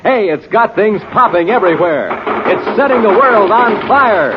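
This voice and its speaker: A man announcing and pitching in 1950s radio style, excited, emphatic and scripted for a hard sell. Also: moderate pace. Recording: dull, faintly noisy 1950s radio recording.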